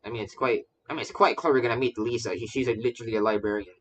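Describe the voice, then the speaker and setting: A man's voice: medium pitch, fairly even intonation, racing, a bit bright, lo-fi sound, slight background noise. Rambling, stammering male game streamer. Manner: rambling, stammering, repeats phrases. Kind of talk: casual livestream commentary. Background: faint game music.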